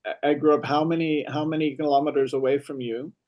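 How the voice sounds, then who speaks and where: A man's voice: a little high, steady tone, fast talker, darkish tone. A man speaking casually and conversationally as he conducts an interview.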